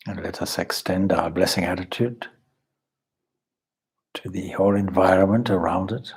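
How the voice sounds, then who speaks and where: A man leading a guided meditation as a spiritual teacher, calm and measured, with deliberate pauses and a husky, breathy voice. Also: sits low.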